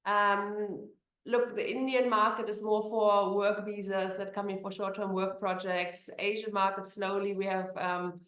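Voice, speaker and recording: A woman's voice: mid-range voice, level tone, measured pace, a bit bright, roomy sound. A woman from Germany with a German accent, an immigration attorney answering interview questions in a chatty way with many fillers and restarts.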